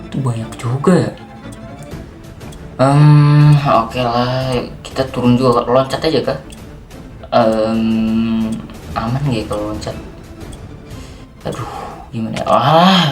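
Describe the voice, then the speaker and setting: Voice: mid-range voice, fairly even intonation, takes it slowly, pauses often, natural voice. Man acting a jumpy zombie survivor over music. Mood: puzzled, wary. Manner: muttering, exclaiming, casual slang. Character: weary, jumpy young male zombie apocalypse survivor. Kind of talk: improvised Minecraft roleplay, talking to himself. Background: background music.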